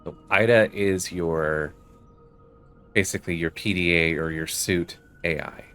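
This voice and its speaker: A man with a very deep voice hosts a gaming walkthrough. He explains as he plays, in commentary style, with music playing faintly behind him. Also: unhurried, fairly bright tone. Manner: explanatory, reads game text aloud. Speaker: gaming video host.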